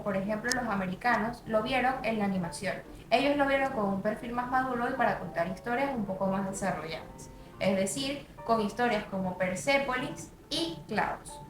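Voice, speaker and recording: A woman's voice: a little high, roomy sound, poor audio. A woman reading a scripted narration for a student video essay.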